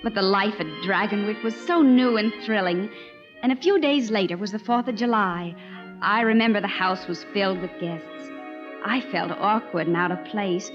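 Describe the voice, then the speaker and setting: A woman's voice: mid-range voice, moderate pace, close to an everyday voice. An actress playing a shy, earnest young woman companion, self-conscious and wide-eyed, in an old-time radio drama. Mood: wistful narration. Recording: dull vintage radio recording with music.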